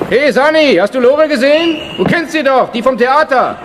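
An actor playing an anxious, lovesick German soldier in a radio drama, hesitant and pleading. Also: male voice, very high voice, lively intonation, moderate pace, noisy background.

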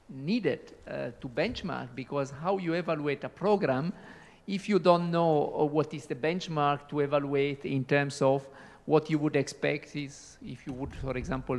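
A man in his forties in a large lecture hall, commenting into a handheld microphone during a conference question session. He hesitates often and repeats words. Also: a little high. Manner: hesitant, repeats words, non-native phrasing. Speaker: audience member asking a question. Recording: echoey hall.

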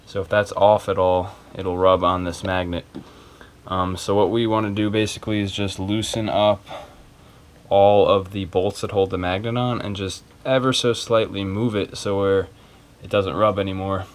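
A man's voice: low-pitched, flat delivery, moderate pace. A man talking casually and a little hesitantly through a hands-on repair tutorial, unscripted and informal. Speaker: video maker giving a repair tutorial. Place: small cluttered carpeted room indoors.

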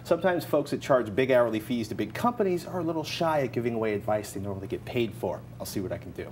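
A man's voice: mid-range voice, lively intonation, fast talker, a little hiss or hum. A middle-aged American man co-hosting a tech show at a studio desk, presenting and giving advice casually.

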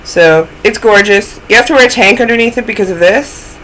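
A woman's voice: medium pitch, a little fast, fairly bright tone, slight background noise, poor audio. A young woman hosting a knitting podcast from home, chatting casually to a webcam with a stuffy, snuffly voice and self-deprecating asides. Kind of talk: casual chat to camera. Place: small room at home, indoors.

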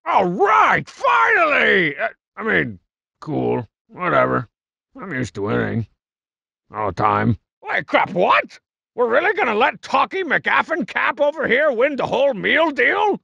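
A man voicing a cocky, juvenile, short-tempered masked cartoon villain for a video game, gravelly and raspy, with boastful, mocking, slangy delivery. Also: extremely high pitch, wildly swooping pitch, a little slow, sharp tone, dry recording, lo-fi sound, heavily acted. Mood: triumphant, then sullen, deflated, then outraged. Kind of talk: video game character barks.

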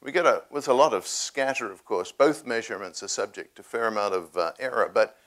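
Lowish-voiced man explaining lab experiments. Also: fairly quick, fairly bright tone. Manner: explanatory, with frequent "uh" fillers and restarts. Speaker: research scientist presenting a talk. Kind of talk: public science talk with slides. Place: cafe or hall at a public science event.

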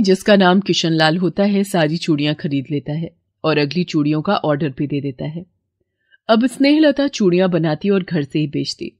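A woman narrating a scripted moral story in a steady storytelling manner. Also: fairly low pitch, dry recording, lo-fi sound.

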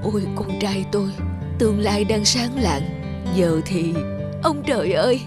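A woman's voice: medium pitch, a little slow, over loud music, close to an everyday voice. A woman playing an anguished middle-aged mother in a cartoon, melodramatic and lamenting.

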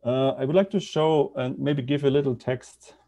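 A middle-aged man with a German accent giving an informal academic talk over a video call, haltingly thinking aloud. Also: mid-range voice, fairly even intonation, mellow. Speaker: presenter, media artist and professor. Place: indoor room on a video call.

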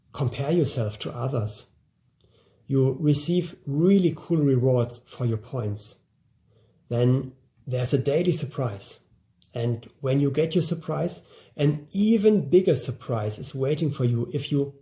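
A man in his forties speaking to camera in a studio, giving an earnest, upbeat scripted sales pitch for a language course. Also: gravelly, dark tone. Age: 40s. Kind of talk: scripted promotional talk to camera. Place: indoor studio, plain grey backdrop, seated at a table.

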